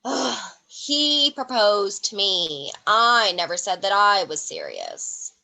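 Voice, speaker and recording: Spoiled rich daughter played by an actress, bored and flippant, slow drawl with big pitch swoops, stage comedy. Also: female voice, huge pitch swings, creaky, sharp tone, exaggerated voice, poor audio. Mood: bored, dismissive. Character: spoiled, bored young heiress, dismissive and flippant. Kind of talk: comedic acting in an online play.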